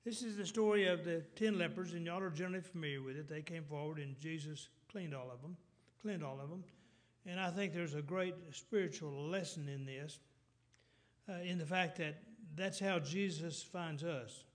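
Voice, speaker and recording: A middle-aged preacher with a Texas drawl, his voice sitting a little high for a man. He works through his sermon in loose, rambling sentences, doubling back and restarting often. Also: moderate pace. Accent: Texan. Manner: rambling, many restarts and fillers, clears throat.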